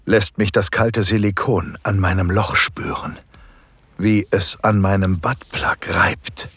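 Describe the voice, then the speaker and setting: An actor playing an eager, submissive married man in an intimate erotic audio drama, speaking in a husky, breathy, halting voice. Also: deep voice, fairly even intonation, no echo, exaggerated voice. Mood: tense anticipation. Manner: slow, hushed, halting with moans. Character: submissive married man, construction foreman, eager, aroused, pleading.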